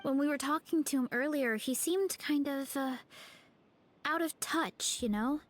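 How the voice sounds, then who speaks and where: High-voiced young orchard woman. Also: unhurried, slight background noise. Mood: thoughtful. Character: friendly young woman whose family owns an orchard.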